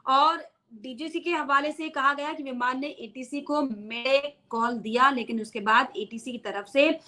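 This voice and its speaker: Woman, news explainer, high-pitched, sharp bright tone, emphatic repetition, unscripted delivery. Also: unhurried. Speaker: news presenter explaining a story.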